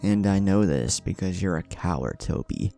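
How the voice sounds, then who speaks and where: A man voicing a defiant, coolly confident teenage ninja in a story, with a mocking, deliberate delivery. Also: low-pitched, expressive, warm tone, faint music behind. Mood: taunting, menacing.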